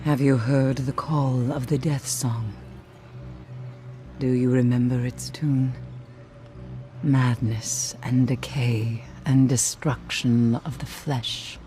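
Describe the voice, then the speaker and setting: Here is a grim, haunted mystic warrior woman in a video game. She talks slowly and solemnly, with a hushed intensity that often turns breathy. Noisy cutscene ambience sits behind her. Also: rumbling low, fairly even intonation. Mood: sinister, hushed. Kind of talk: scripted dramatic game voice acting.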